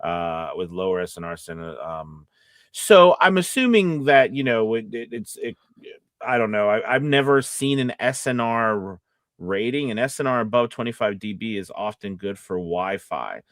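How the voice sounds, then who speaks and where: A man's voice: fairly low pitch, expressive, normal speed, dry recording. Male live-stream host and coach, chatty and rambling, restarts often, dry sound. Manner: rambling, false starts and self-interruptions. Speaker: co-host, voiceover casting director and coach. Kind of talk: casual live-stream chat answering viewer questions.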